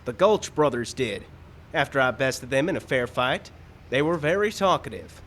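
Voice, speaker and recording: Animated, folksy cowboy hero played by a man. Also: medium pitch, moderate pace, slight background noise, lightly acted. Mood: indignant. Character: brash, folksy, hot-headed cowboy rancher hero. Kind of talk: comic live radio western acting. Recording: live show with background ambience.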